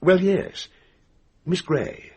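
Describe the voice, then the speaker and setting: An actor playing a brisk, confident, well-to-do English gentleman in a radio drama, speaking forthrightly with a British accent. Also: sits high, lively intonation, husky, heavily acted. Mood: brisk, eager. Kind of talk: BBC radio drama acting.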